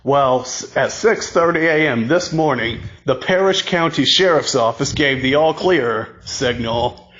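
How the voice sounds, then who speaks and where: A man slowly reading a mock emergency broadcast in a solemn announcer voice, stumbling and repeating words as he goes. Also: mid-range voice, fairly bright tone, muffled recording.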